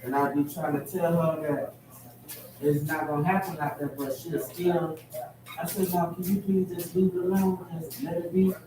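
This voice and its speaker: A man in his forties sharing family troubles in a counseling group, telling a rambling story with pauses and fillers. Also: fairly high pitch, level tone. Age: 40s. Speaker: group member. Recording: very echoey room from far off, noisy.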